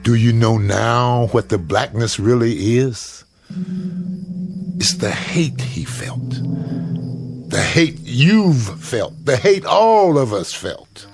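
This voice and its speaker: An actor playing a reverend who preaches solemnly and quotes scripture at a crowd, over background music. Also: male voice, medium pitch, animated, slow, vocal fry. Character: grim male preacher warning a crowd.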